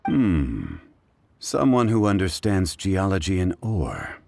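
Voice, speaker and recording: An actor playing a composed, scholarly gentleman consultant in a video game, speaking in a measured, formal, eloquent way. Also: deep voice, wildly swooping pitch, creaky, noisy background, full character voice. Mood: calm amusement. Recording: music behind the voice.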